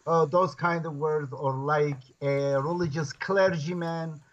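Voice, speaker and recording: A man's voice: fairly high pitch, steady tone, slow pace. Man, earnest lecturer. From Turkey. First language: Kurdish. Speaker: guest scholar. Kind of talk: interview over video call. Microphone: video call. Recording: lo-fi video call audio.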